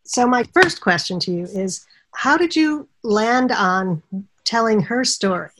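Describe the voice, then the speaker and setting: A woman's voice: a little high, expressive, measured pace, low-quality recording. A woman hosting a podcast, welcoming her guest and asking questions in an enthusiastic way. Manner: enthusiastic, warm welcoming host. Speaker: podcast host. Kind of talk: podcast interview.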